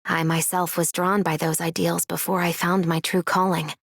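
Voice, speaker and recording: A composed female scientist from a game. Her low-leaning voice has heavy fry and a formal, measured manner, in a dry studio recording. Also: little pitch movement, no room sound. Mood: serious. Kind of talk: scripted game character voice lines.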